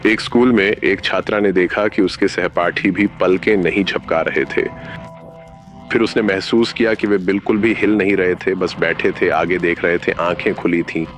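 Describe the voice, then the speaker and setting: A man narrating a scripted analog horror safety bulletin in a formal, measured announcer manner. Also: low-pitched, fairly even intonation, fairly bright tone, soft background music.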